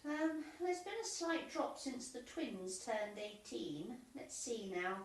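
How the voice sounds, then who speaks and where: A woman's voice: fairly high pitch, sing-song, takes it slowly. Woman as a mild club secretary, very echoey. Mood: mild, earnest. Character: mild, literal-minded club committee secretary. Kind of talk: amateur radio comedy acting. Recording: very echoey, distant, faint background noise.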